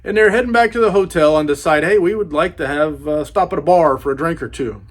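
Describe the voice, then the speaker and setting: A man's voice: a little high, fast. A man giving a casual book review as a channel host, enthusiastic, with a laid-back, slangy way of talking.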